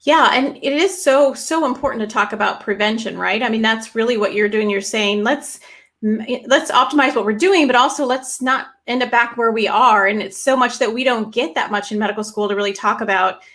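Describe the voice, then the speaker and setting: A woman's voice: a little high, a little fast, a bit bright. A woman hosting a podcast in a casual, chatty way, asking questions and encouraging her guest with emphatic remarks. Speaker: podcast host, doctor. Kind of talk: casual conversational interviewing.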